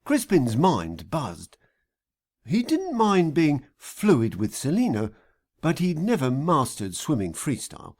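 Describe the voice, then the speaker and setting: Slow, husky man narrating with lively pitch swings. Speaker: author reading an audiobook extract. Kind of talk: scripted satirical audiobook narration.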